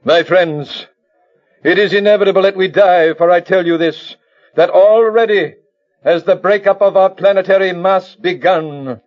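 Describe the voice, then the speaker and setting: High-pitched, solemn alien elder played by a man. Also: normal speed, lightly acted. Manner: declamatory, solemn, theatrical. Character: grave alien elder scientist leader of a doomed planet. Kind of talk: old-time radio sci-fi drama acting. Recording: dull lo-fi old radio recording.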